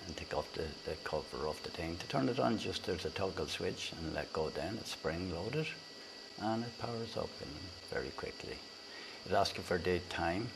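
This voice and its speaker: Halting Irish man reviewing a gadget. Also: low voice, lively intonation, gravelly, background noise. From Ireland. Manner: halting, many filler sounds and restarts. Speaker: tech reviewer, channel host. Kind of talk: casual product review and demo. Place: indoors in a room with a fan, then outdoors by a busy road. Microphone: lavalier.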